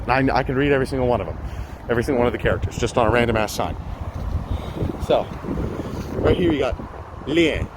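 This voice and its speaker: A young man chatting casually while walking city streets and vlogging, rambling and swearing now and then. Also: mid-range voice. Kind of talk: casual walking vlog talk. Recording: street noise behind.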